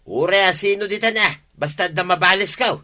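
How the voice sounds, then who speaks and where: An actor playing a hot-tempered village man who grumbles and flares up quickly, in a radio comedy drama. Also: high voice, pushed and bright. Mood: defiant. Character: hot-tempered village man fuming over his crops.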